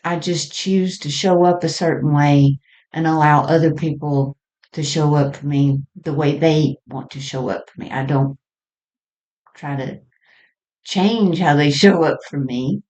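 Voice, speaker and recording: A woman speaking in an unscripted, reflective spiritual monologue, halting and trailing off mid-thought. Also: deep voice, steady tone, a little mellow. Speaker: podcast host.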